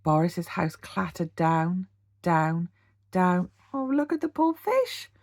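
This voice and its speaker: A British woman, a teacher, reading a children's storybook aloud in an animated bedtime-story style. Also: lowish voice, slow pace, no echo, studio quality. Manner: animated, with gasps and sound words.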